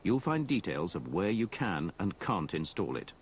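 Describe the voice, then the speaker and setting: A man reads scripted instructional narration in a measured, methodical, explanatory way, copied from an old VHS tape. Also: sits low, sing-song, a little hiss or hum. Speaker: training film narrator.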